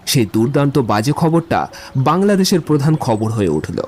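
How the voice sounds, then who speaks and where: A man's voice: medium pitch, very animated, normal speed, a little mellow, faint music behind, natural voice. A man playing an eloquent young man in love in a literary audio drama, speaking in lyrical, wordy speeches. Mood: musing, ardent.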